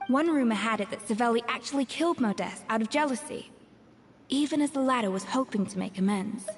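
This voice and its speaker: A woman's voice: a little high, animated. An actress voicing a proud, troubled young female chef in a video game, reflective and articulate. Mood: somber, reflective. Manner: measured, articulate, pensive storytelling. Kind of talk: scripted video game voice acting. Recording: long reverb, music behind. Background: game music.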